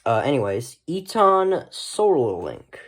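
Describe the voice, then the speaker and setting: A man's voice: mid-range voice, sing-song, slow pace. Casual, rambling man showing off gear. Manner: rambling, trailing off with short asides. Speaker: hobbyist video host. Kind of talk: casual unscripted show-and-tell.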